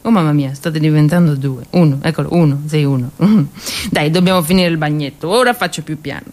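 A woman performing a theatrical monologue as a drunk, lonely woman soldier in a First World War trench, rambling and halting in her speech. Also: sits low, darkish tone, pitched below the performer's own voice, natural voice. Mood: tipsy, playful. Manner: slurred, halting, tipsy rambling.

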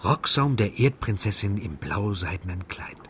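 An actor voicing a philosophical French cartographer in a German radio voice-over, pondering in lyrical phrases. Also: male voice, on the low side, animated, moderate pace, a bit bright, a little hiss or hum. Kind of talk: voice-over translation in radio feature.

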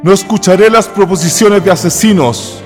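An actor playing a resolute patriot military commander in a historical radio drama, speaking in a solemn, declamatory way. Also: male voice, sits high, normal speed, natural voice. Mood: resolute, defiant. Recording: long reverb, music behind.

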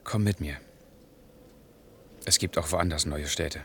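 An actor playing a tender, wistful grey-haired former lover in a radio play, speaking in an intimate, pleading way. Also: male voice, very deep voice, unhurried, a bit bright, a little hiss or hum, full character voice. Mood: pleading, resigned.

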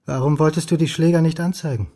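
An actor in a radio crime drama playing a stubborn, irritable historian, speaking in a dry, clipped, sardonic way. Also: male voice, fairly high pitch, fairly even intonation, quick pace, dark tone, close to an everyday voice. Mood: irritated, challenging. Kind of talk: German crime radio play acting, narration and dialogue.

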